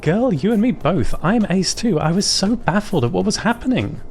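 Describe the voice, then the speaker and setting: A man puts on an exuberant woman tinkerer for audio fiction. The voice is lifted high, chatty and excitable, gushing in a friendly way over music. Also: normal speed. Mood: excited, relieved. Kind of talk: audio fiction character dialogue.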